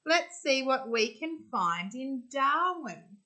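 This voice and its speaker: Elderly storybook granny possum, played by a woman reader: high, bright, caring and exclamatory. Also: very animated, takes it slowly, full character voice. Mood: urgent, encouraging. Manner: exclamatory, encouraging. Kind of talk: children's storybook reading.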